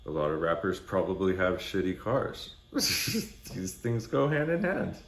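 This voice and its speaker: A man in his forties with a low voice chats straight to camera in a loose, blunt way. He swears freely and rambles like a laid-back vlogger. The room adds a clear echo to the camera mic. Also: big pitch swings, a little slow, slight background noise. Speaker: music vlogger. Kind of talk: casual rambling talk to camera. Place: small indoor room with neon signs. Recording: roomy echo.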